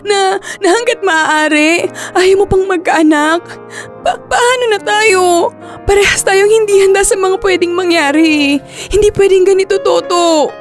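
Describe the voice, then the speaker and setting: High-voiced anxious young Filipina. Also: female voice, measured pace, faint music behind. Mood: distressed, panicked. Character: anxious young working woman, unexpectedly pregnant. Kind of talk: Filipino radio drama acting.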